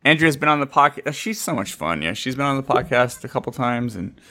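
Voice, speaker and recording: A man's voice: mid-range voice, sing-song, brisk, sharp tone. A man hosting a podcast in casual chat, teasing and often repeating himself. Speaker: podcast host.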